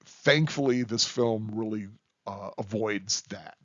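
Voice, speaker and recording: A man giving an unscripted, rambling film review in a halting, deliberate way with emphatic stresses. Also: lowish voice, a bit bright, no echo, dull audio.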